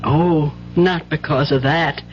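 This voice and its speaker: An actress playing a weary, hurt but yielding wife and mother in old-time radio drama style, speaking hesitantly and with measured reproach. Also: low-pitched, steady tone, soft background music. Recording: muffled old radio broadcast with hum.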